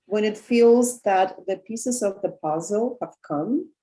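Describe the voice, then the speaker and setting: A woman's voice: mid-range voice, slow pace, dark tone, rough recording. A woman hosting an interview who asks her guest encouraging questions. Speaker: interview host. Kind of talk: casual interview conversation.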